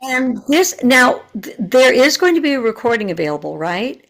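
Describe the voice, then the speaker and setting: A woman's voice: on the high side, sing-song, normal speed, vocal fry. A woman in her sixties, a retired teacher, asking questions in an online seminar over a video call, earnest and searching for words.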